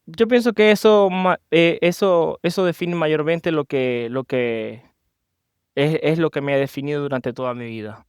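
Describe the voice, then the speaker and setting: Venezuelan guy as podcast guest, clear voice, dry sound, telling personal stories casually. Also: on the high side, normal speed, dry recording. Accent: Venezuelan Spanish. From Maracaibo, Venezuela. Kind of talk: personal storytelling in a casual interview.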